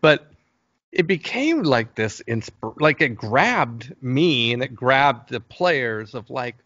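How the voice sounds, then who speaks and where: A man talking casually as an interview guest, telling stories in a hesitant but enthusiastic, halting way. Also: medium pitch, very animated, bright, forceful tone, no echo, dull audio. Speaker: interview guest, game creator. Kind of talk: casual interview talk, storytelling.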